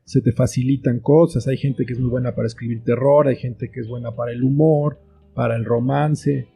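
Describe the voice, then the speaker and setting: A man's voice: medium pitch, steady tone, moderate pace, gravelly, warm tone, soft background music. A man talking as an interview guest, explaining his thoughts reflectively in short phrases with examples. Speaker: guest, children's book author and screenwriter.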